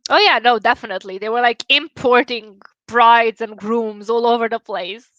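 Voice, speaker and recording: Bright-voiced Romanian woman chatting live. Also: a little high, moderate pace, no echo, lo-fi sound. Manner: chatty and candid, swears casually. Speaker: livestream host. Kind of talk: casual chat with viewers.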